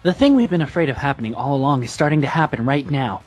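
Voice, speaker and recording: A man's voice: mid-range voice, big pitch swings, fast talker, darkish tone, faint music behind. A man voicing a polite, composed teenage schoolboy with mock solemnity in anime fan dub outtakes. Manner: mock-solemn, joking asides.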